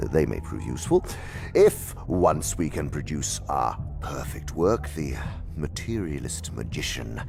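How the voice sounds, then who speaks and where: A man playing an older, pompous senior demon in an audio drama, lecturing his inferior with condescension and savouring his words in a gravelly voice. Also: rumbling low, a little mellow, exaggerated voice. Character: senior demon uncle, cunning, condescending, theatrical schemer. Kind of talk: theatrical audio drama dialogue.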